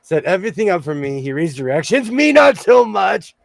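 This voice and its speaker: Hoarse joking man on a livestream. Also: high-pitched, sing-song, brisk, no room sound, studio quality. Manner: jokey, laughs often, reads chat aloud. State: hoarse, losing his voice. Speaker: sewing livestream host. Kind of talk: casual livestream chat.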